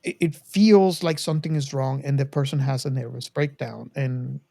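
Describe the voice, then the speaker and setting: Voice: medium pitch, steady tone, normal speed, gravelly, dark tone, no echo. A podcast host talking casually about a film, with rambling asides to listeners. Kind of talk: casual movie discussion.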